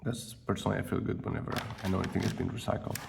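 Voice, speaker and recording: Low, very creaky man, casually unboxing. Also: little pitch movement, darkish tone. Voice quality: gravelly, creaky. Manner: halting, thinking aloud. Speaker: vlogger presenting an unboxing. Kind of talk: casual unscripted unboxing commentary. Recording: distant mic, faint background noise.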